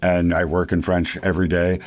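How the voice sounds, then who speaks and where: A man's voice: low-pitched, level tone, brisk, a little mellow. A man from Quebec with a Canadian English accent, talking casually as a podcast interview guest. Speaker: interview guest, bar owner and beverage director.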